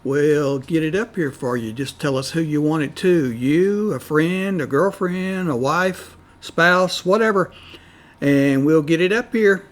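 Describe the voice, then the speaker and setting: Casting: male channel host, fairly high voice, casual promo read. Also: unhurried, a little hiss or hum.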